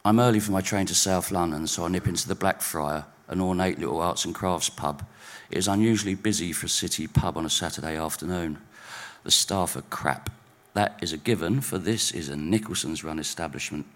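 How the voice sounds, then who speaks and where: A man reading his overheard-conversation stories at a live spoken-word night in a pub, wry and deadpan. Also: deep voice, brisk. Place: pub venue stage.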